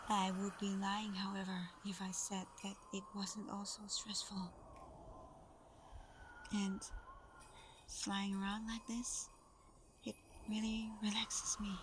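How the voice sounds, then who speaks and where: A woman playing Santa's gentle, shy adopted daughter in an intimate ASMR roleplay, soft-spoken, tender and a little hesitant. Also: medium pitch, close to an everyday voice. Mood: tender, reflective. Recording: clearly noisy background ambience.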